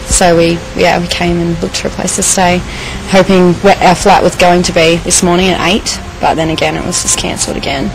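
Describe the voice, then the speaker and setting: A woman's voice: fairly low pitch, level tone, brisk, gravelly, hardly any pauses, background noise. A woman answering interview questions in an informal, conversational way, as a travelling guest. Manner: informal, conversational, uses "you know" often. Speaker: stranded tourist interviewee. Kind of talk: casual interview.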